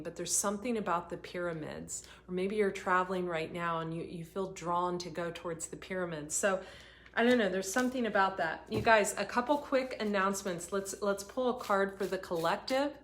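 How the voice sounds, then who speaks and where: A woman hosting a live oracle card reading, talking in an earnest, rambling way, her voice getting hoarse. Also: lowish voice. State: voice going hoarse. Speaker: intuitive reader hosting a livestream.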